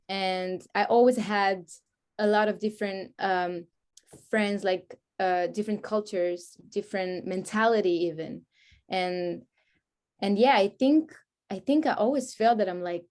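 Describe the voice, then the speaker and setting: A woman talks casually in an interview, with Hebrew as her language. Her voice sits at a medium pitch. She often pauses to find the right word as she explains herself. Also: a little mellow. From France (grew up in Paris), born in Israel. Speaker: guest, music artist.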